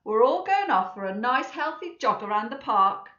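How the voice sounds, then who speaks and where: A woman's voice: a little high, big pitch swings, normal speed, sharp tone. Lady doing a bossy mummy elephant from a kids book, stern n emphatic, roomy slightly dull sound. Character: stern, bossy plump mother elephant, exasperated but affectionate. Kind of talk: children's storybook read-aloud.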